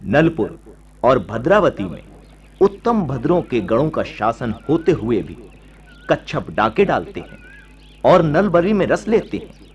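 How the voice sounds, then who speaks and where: Slow, creaky-voiced solemn monk teacher. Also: male voice, mid-range voice, dull audio. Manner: solemn, declamatory, formal Sanskritized diction. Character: serene, resolute monk teacher preaching detachment and compassion. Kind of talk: radio play acting.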